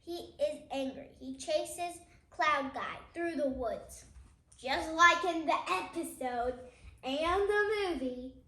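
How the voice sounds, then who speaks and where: A child reading a picture book aloud in a halting way, adding casual comments along the way. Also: high voice, lively intonation, pushed and bright. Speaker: child reader, host of a reading channel. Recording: echoey room.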